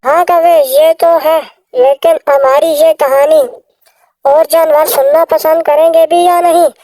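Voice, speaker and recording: Woman voicing a high, creaky little cartoon bird. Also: steady tone, unhurried, mellow, no echo, heavily acted. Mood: thoughtful. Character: kind, wise little female songbird. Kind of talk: children's cartoon story dialogue.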